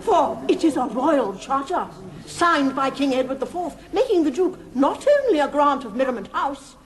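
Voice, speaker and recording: An excitable lady professor in a radio comedy. Her high voice swoops widely as she declaims with dramatic relish and a fluttery edge. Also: normal speed. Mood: triumphant. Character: excitable, theatrical female history professor.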